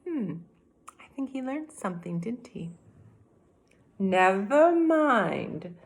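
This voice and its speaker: A woman's voice: fairly high pitch, animated, normal speed, darkish tone. A woman in her fifties, a teacher reading a storybook aloud to children, dramatic, with explanatory asides. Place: small room at home, by a window.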